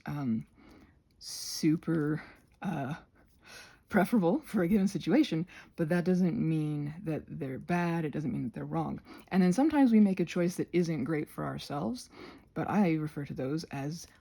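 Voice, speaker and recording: A woman hosting a rambling craft ASMR chat with a husky voice, in an expressive, tentative, self-deprecating way that meanders. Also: low-pitched. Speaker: channel host.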